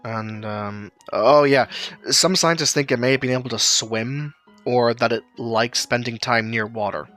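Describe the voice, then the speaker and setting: Man playing a blunt, bright-voiced know-it-all guy. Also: mid-range voice, unhurried, soft background music, natural voice. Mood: thoughtful. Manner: blunt, hesitant while recalling facts. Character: blunt, knowledgeable, cautious young guy.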